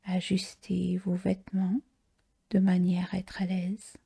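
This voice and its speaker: Female meditation guide: hushed, very soft and mellow delivery, unhurried and soothing, heavy breathiness. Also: on the low side, completely flat delivery, gravelly, husky. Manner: slow, soothing, with long pauses. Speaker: yoga nidra relaxation guide.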